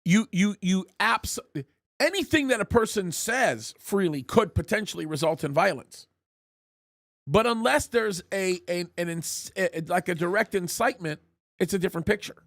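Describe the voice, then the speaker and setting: A high-pitched man hosting a live political talk show, pressing his points with emphasis. His voice sits well above the usual male range, and the sound is close and dry, with no room in it. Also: normal speed, a bit bright, no echo. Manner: emphatic, repeats words when starting a point. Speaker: pastor and show host.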